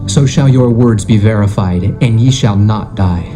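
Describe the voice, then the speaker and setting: A man's voice: on the low side, measured pace, natural voice. Stern male governor acted by a man over music. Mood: commanding. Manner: commanding, formal archaic speech. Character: stern Egyptian governor, commanding, testing his brothers. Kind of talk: dramatised scripture reading.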